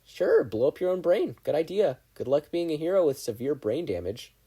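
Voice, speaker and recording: A performer voicing a smug, bossy inner conscience, sarcastic and lecturing in a brisk way. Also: mid-range voice, sing-song, warm tone, husky, voice pushed up.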